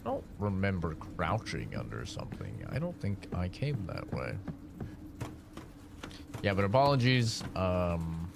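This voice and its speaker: A man streaming a game, talking casually with a raspy, deeper-than-usual morning voice, rambling with lots of "like" and "man". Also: big pitch swings, measured pace, noisy background. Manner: rambling, filler-heavy, says "like" and "man" often. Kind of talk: casual gameplay livestream commentary.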